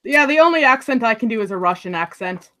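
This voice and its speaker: A woman, Spanish her first language, chatting casually on a voice call, talkative and fond of arguing, with quick laughs. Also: fairly high pitch, lively intonation, pushed and bright. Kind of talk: casual voice chat.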